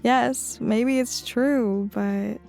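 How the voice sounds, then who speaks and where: A woman voicing a reserved young female hotel cleaner in an audio drama, speaking in a guarded, soft way. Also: fairly high pitch, steady tone, drawn-out words, gravelly, faint music behind, heavily acted.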